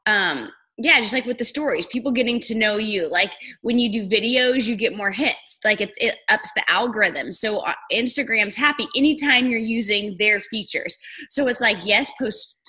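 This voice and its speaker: A woman's voice: fairly high pitch, moderate pace, bright, forceful tone, husky, poor audio. A woman hosting a casual live chat over a video call, friendly and rambling, with lots of "like". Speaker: host.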